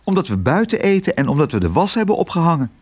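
A man voicing a spirited young girl in a children's story, speaking in a lively and direct way. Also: a little high, fast, pitched above the performer's own voice. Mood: doubtful. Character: young girl, spirited, anxious, plain-spoken daughter.

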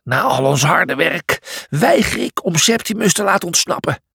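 A man's voice: on the high side, animated, normal speed, vocal fry, pushed and bright, slightly rough, no room sound, clean studio sound, pitched above the performer's own voice, full character voice. A man voicing a bossy, determined young male zebra gladiator in a children's storybook, urgent and exasperated.

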